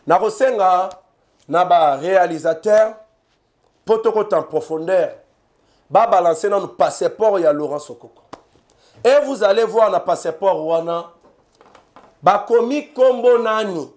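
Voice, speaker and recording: Brief: Congolese male commentator, slightly high voice, insistent and repetitive, talks straight to the audience. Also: unhurried, long pauses, a little mellow. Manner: emphatic, repetitive, addresses viewers directly. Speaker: political commentator. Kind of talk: animated political commentary in French and Lingala.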